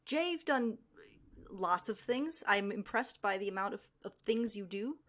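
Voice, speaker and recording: A woman with a medium-pitched voice co-hosts a podcast. She talks casually and conversationally as she introduces and questions a guest. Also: lively intonation, a little slow, a bit bright. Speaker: podcast co-host.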